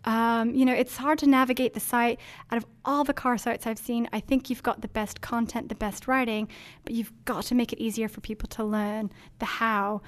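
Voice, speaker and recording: A woman's voice: fairly high pitch, flat delivery, moderate pace. A woman from Denmark answering interview questions about marketing in a chatty, earnest way, with many ums and likes. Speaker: marketing executive.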